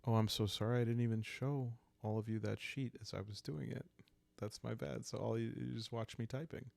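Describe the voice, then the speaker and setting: Man, hushed solo game narrator, creaky low end, unhurried musing, dry close sound. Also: no room sound. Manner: calm, hushed, musing aloud. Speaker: solo role-playing game host.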